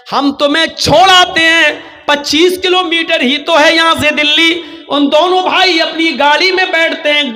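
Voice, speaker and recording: Very high-pitched, piercing male preacher. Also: pushed and bright. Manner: declamatory, dramatic storytelling, acting out dialogue. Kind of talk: impassioned religious sermon told as a cautionary story.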